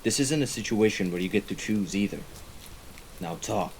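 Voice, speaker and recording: A man playing a composed, menacing mafia right-hand man in an audio roleplay, speaking in a formal, measured way. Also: fairly low pitch, a little hiss or hum, lightly acted. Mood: stern, commanding. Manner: formal, measured, deliberate wording. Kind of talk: scripted audio roleplay acting. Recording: rain and ambience behind the voice.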